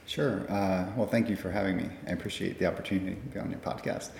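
A man from the United States, answering interview questions about his research in a fluent, measured way. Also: sits low, fairly even intonation, creaky, a little mellow, a little hiss or hum. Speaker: guest scientist. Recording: echoey conference room.

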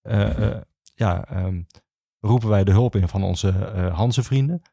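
Male expert guest: dark, soft-edged delivery, very dry close sound, halting explanatory phrasing. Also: lowish voice, vocal fry, slightly rough, dry recording. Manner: halting, hesitant phrasing with self-corrections. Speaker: archivist, podcast guest. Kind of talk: explanatory interview talk on history.

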